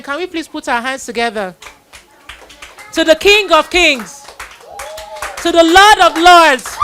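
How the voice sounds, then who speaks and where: A woman's voice: extremely high pitch, fairly bright tone. A middle-aged woman hosting a live children's Bible event, introducing and addressing people in an exhorting manner with repeated phrases.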